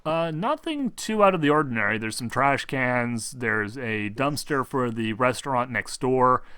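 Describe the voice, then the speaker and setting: A man runs a tabletop role-playing game as game master. He thinks aloud as he describes scenes, halting and conversational, at a medium pitch. The recording is very dry. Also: expressive, sharp tone, smooth, no room sound. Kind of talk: improvised tabletop game narration.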